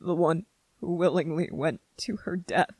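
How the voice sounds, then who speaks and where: A performer voicing a gentle, grieving young male soldier in an intimate ASMR roleplay, speaking haltingly and emotionally, close to tears. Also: mid-range voice, steady tone, no echo, lightly acted. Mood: grieving, aching. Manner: halting, emotional, earnest, short broken phrases.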